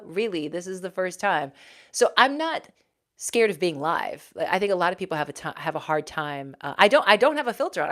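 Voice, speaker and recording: Woman, 40s, low voice, ex-news anchor, casual livestream host. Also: fairly bright tone. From Houston, Texas. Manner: chatty, reads out viewer comments, laughs often. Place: home.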